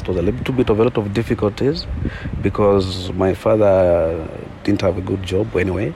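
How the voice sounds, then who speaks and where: A man with a Zambian accent telling his own story informally, halting and repeating words as he goes. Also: on the low side, creaky, darkish tone, a little hiss or hum. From eastern Zambia. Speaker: community conservation leader. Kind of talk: personal storytelling in an interview.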